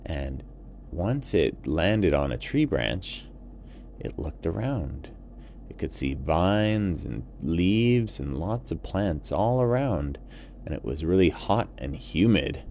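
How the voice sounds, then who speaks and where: A man with a fairly low voice reads as a children's storyteller. He goes very slowly, in simple phrases with repeated words, as for a bedtime tale. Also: very animated, creaky, a little mellow, a little hiss or hum. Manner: simple, repetitive, asks listeners questions.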